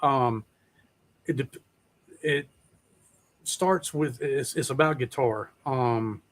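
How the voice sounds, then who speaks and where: A man's voice: mid-range voice, takes it slowly. A man hosting a casual, rambling chat in a folksy, laid-back, profane way. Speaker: podcast host.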